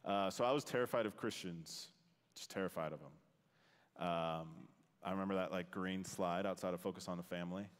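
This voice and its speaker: A man with a very low voice talks casually from a church stage, lively and quick to laugh. The room has a long reverb tail around him. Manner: animated, laughs while telling stories. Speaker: guest speaker. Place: church sanctuary. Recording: hall reverb.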